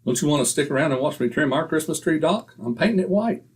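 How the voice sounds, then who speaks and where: An actor playing a boastful, wisecracking husband in a 1940s-style radio comedy. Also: male voice, on the high side, expressive, quick pace, breathy edge. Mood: brash. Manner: brash, wisecracking. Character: boastful, blustering middle-aged husband.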